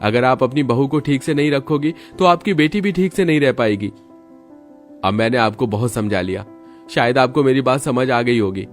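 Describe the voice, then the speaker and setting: A man's voice: mid-range voice, lightly acted. A man voicing a stern, protective young husband in a cartoon story, firm and reproachful. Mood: stern, warning. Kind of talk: cartoon moral story acting.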